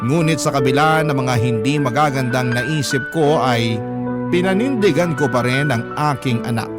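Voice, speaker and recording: A man's voice: medium pitch, normal speed. A man with a Filipino accent reading a first-person story as scripted radio drama narration. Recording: loud music bed under the narration.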